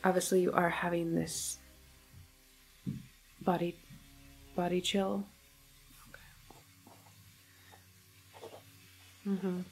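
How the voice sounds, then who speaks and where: A woman playing a calm, reassuring government doctor in an ASMR medical roleplay, soft-spoken and partly whispering, with a hesitant, clinical manner. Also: on the low side, takes it slowly, creaky, husky, faint music behind, full character voice, low-quality recording. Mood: gently questioning.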